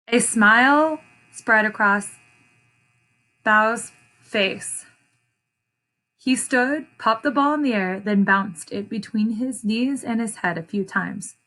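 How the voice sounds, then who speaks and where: A woman reading a picture book aloud like a teacher, deliberate and expressive, pausing to explain and repeat phrases for young listeners. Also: mid-range voice, rough recording. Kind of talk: children's read-aloud with short explanations.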